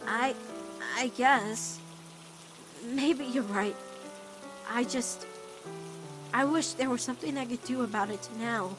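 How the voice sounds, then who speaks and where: A woman voicing an earnest, emotional teenage girl weighed down by guilt, in an animated-style comic dub, speaking haltingly. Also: fairly high pitch, over music. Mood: despondent. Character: guilt-ridden, self-blaming teenage human girl.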